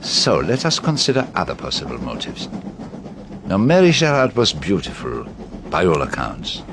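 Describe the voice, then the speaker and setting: An actor playing a shrewd, courteous detective with a French-speaking European accent and formal, deliberate, probing phrasing in a radio drama. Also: male voice, low voice, huge pitch swings, vocal fry, background noise, full character voice. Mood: thoughtful. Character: shrewd, courteous foreign male private detective, probing and precise.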